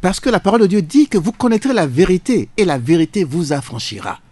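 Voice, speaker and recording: A passionate man holds forth in a radio studio debate. His voice sits high and swings widely as he presses each point, preacher-like. The sound is close and dry. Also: very animated, fast talker, a little mellow, dry recording. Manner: passionate, emphatic, preacherly cadence. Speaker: guest, jurist.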